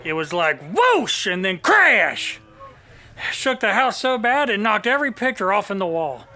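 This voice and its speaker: An actor playing an irritable, suspicious farmer with a folksy, indignant manner in an audio drama. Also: male voice, high voice, sing-song, a little slow, sharp tone, full character voice.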